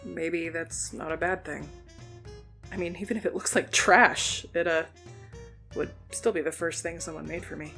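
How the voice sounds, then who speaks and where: A woman playing a hot-headed, gruff teenage girl who speaks bluntly and swears freely, with a soft spot underneath, in an audio drama. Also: low-pitched, steady tone, moderate pace, faint music behind. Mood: bashful.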